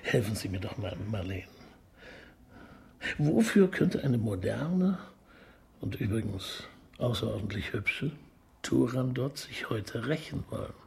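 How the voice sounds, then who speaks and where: Courteous police detective, slightly creaky voice. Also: male voice, mid-range voice, animated, a little slow, long pauses. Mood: musing, delicate. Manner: polite, roundabout, musing. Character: courteous, opera-loving male police detective, gently probing. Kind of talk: naturalistic radio drama acting.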